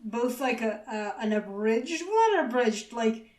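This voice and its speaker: A woman's voice: on the high side, very animated, a little slow, a little mellow. A woman in her mid twenties chatting casually as a podcast co-host. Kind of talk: casual chat.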